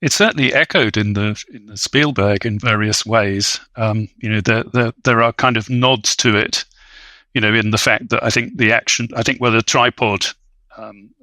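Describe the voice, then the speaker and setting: A man with a bright, fairly low voice discusses film as a guest. He sounds scholarly and measured, picking his words with some hesitation, and the recording is very dry. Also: dry recording. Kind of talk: conversational film analysis.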